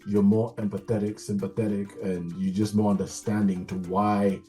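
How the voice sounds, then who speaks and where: A Kenyan-accented man speaks as an interview guest in a very low, mellow voice. He talks conversationally and earnestly, reflecting as he goes. Faint music plays behind him. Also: flat delivery, moderate pace. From Nairobi, Kenya. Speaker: interview guest, community leader.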